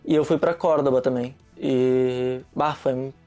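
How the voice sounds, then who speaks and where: A man's voice: mid-range voice, fairly even intonation, a little slow, warm tone, soft background music. A young man, a guest in a casual chat, telling stories in an easygoing, slangy way. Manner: easygoing storyteller, slangy, laughs often.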